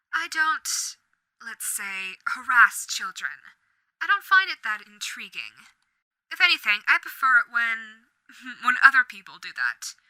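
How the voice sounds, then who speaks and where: A woman voicing a female radio demon, a suave, sadistic gentlewoman who speaks in a theatrical, old-fashioned polite way. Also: fairly high pitch, no echo, rough recording. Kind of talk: audio roleplay.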